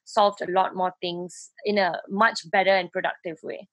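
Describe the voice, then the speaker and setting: A woman's voice: fairly low pitch, fairly even intonation, moderate pace, no room sound. A young adult woman, chatting casually and informally as an interview guest on a video call. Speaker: interview guest, DJ and fashion entrepreneur. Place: home room with shelves, video call.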